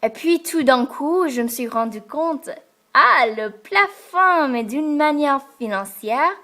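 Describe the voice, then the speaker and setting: A young American woman from Texas, a French learner speaking to the camera in a careful, hesitant way with many pauses and fillers. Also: high voice, lively intonation, bright, forceful tone. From Texas, United States. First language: English. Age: young adult. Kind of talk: casual talk to camera. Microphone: camera in front of her.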